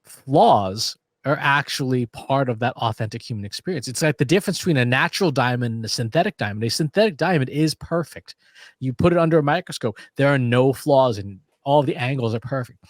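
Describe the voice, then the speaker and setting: A man's voice: medium pitch, lively intonation, a little fast, no room sound, studio quality. A man co-hosting a podcast in casual conversation, explaining ideas with analogies and frequent restarts. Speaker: podcast co-host.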